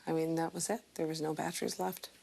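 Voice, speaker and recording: Woman, rapid, creaky-voiced, recounting events, noise behind. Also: low-pitched, flat delivery. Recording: clear background noise.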